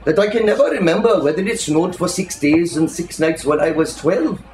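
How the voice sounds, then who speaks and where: A man giving a theatrical, expressive literary reading, savouring the phrases as a storyteller. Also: fairly high pitch, moderate pace, soft background music. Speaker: reader, storyteller. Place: live reading in an indoor shop. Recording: echoey room with faint background noise.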